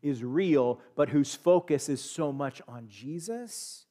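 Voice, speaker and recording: A man in his thirties with an American accent, preaching a sermon in a church sanctuary on a headset mic, swinging from loud emphatic peaks to quiet asides. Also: a little high, animated, slow pace. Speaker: pastor. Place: church sanctuary, standing at a pulpit.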